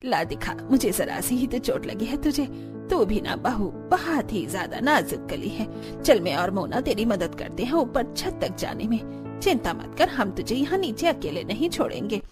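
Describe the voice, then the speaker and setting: A woman's voice: on the high side, sing-song, moderate pace. A woman voicing an older, chatty and bossy village mother-in-law in a cartoon, with an emphatic, familiar manner. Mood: brisk, chiding. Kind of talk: Hindi cartoon story dialogue. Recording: music behind the voice.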